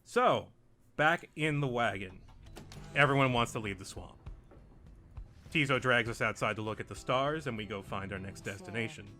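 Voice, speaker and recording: A man's voice: mid-range voice, normal speed, long pauses, sharp tone, soft background music. A man hosting a casual game recap in plain, short, encouraging sentences. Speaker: host and player.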